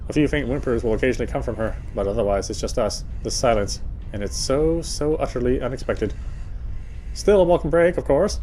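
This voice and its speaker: A man's voice: medium pitch, a little mellow, slight background noise, poor audio. A man reading a visual novel aloud as dramatic first-person narration, now and then stumbling over the text. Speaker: player reading a game aloud.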